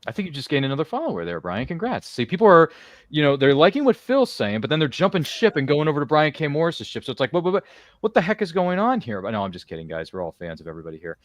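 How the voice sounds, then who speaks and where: A man hosts a casual livestream chat at a rapid clip. He is effusive and slangy, repeating and tripping over his words. The sound is dry, with very little room to it. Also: on the high side, wildly swooping pitch, dry recording. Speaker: podcast host.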